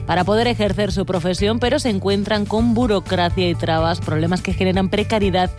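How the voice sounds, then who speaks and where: A female radio news host with an accent from Spain. She reads scripted introductions at a medium pitch, with music clearly behind her. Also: fairly quick.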